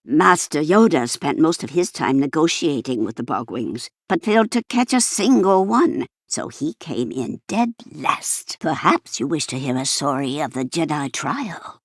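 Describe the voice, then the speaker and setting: A woman's voice: low-pitched, very animated, unhurried, hardly any pauses, no room sound, exaggerated voice. Elderly female Jedi archivist, bookish, fussy, wry, theatrical game voice, swooping intonation, dry close recording. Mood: storytelling, wry. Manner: prim, formal, theatrical. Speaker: game character voice actor. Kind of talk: scripted video game character lines.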